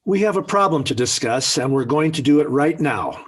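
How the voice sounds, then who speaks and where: A man's voice: on the high side, expressive, moderate pace. An American actor playing a genial, talkative middle-aged suburban father in a 1940s radio sitcom, hemming a bit and then turning firm. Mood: firm, presiding. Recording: slightly rough 1940s radio recording.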